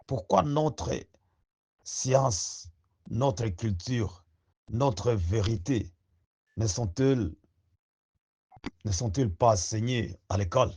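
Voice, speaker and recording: Slow husky man giving a rousing address. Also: fairly low pitch. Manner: slow, rousing, rhetorical questions. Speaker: activist speaker. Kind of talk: impassioned spoken address.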